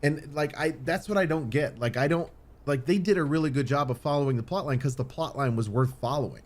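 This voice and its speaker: A man's voice: fairly high pitch, a little fast. A man hosting a podcast in casual, opinionated chat, rambling and circling back over his points with casual swearing. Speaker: podcast host.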